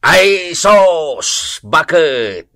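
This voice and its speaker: A man's voice: fairly high pitch, sing-song, very slow, pushed and bright, no room sound, studio quality, heavily acted. A man playing an exasperated, nagging husband in an Ilocano-accented radio comedy drama, with a whining, scolding delivery.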